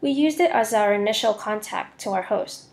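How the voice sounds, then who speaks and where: A woman's voice: mid-range voice, lively intonation, normal speed. A young woman student reading a scripted voice-over for a slide presentation. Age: young adult.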